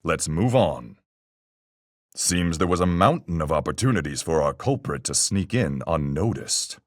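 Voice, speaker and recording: A deep-voiced seafaring man in a detective game, calm and methodical. His husky voice moves freely up and down as he argues in folksy sailor speech. The recording is dry and close. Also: no room sound, heavily acted. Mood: measured, reasoning. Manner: folksy nautical speech, measured and reasoning. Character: calm seafaring man, salty sailor-sleuth reasoning through a murder. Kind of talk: video game voice acting, deliberate argument.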